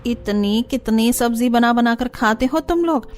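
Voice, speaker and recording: A woman acts a snide, nosy middle-aged neighbour in a cartoon. She taunts in a high voice over music. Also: a little slow, a little mellow, lightly acted. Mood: nosy teasing.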